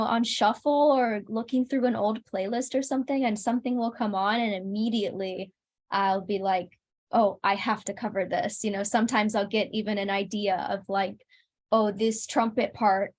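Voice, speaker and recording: A woman talking casually as an interview guest, in a conversational style. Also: mid-range voice. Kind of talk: casual chat.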